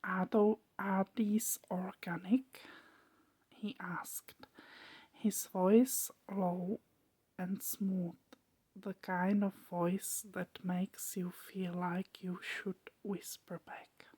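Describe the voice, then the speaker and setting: A woman narrating a story as ASMR in a soft, hushed whisper, breaking it into short, deliberate phrases. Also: lowish voice, little pitch movement, breathy edge.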